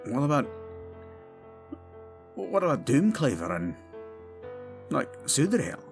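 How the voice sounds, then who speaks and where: A man playing a gruff, suspicious but loyal dwarf with earthy, informal speech in a fantasy audio drama. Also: medium pitch, very animated, slow, faint music behind, voice pushed up, exaggerated voice. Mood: hesitant.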